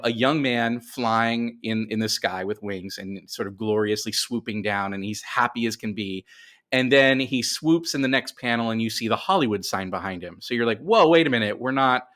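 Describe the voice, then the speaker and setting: A man with a fairly low voice talks casually as an interview guest. He explains creative ideas by thinking aloud, often doubling back to rephrase himself in a halting flow. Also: a bit bright. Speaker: podcast guest, writer of comics and screenplays.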